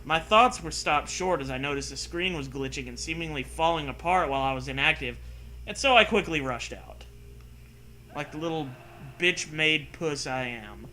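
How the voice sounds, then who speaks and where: A sarcastic man with a fairly high, very bright, forceful voice reads a horror story aloud. He switches between mock-serious narration and casual, foul-mouthed riffing. There is some background noise on the recording. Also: moderate pace. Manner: sarcastic, profane.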